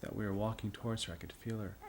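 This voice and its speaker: A man playing an uneasy storyteller in an audio drama, recounting a creepy encounter in a halting, conversational way and repeating himself. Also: fairly low pitch, steady tone, crowd noise, lightly acted. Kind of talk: audio drama acting, rambling recollection.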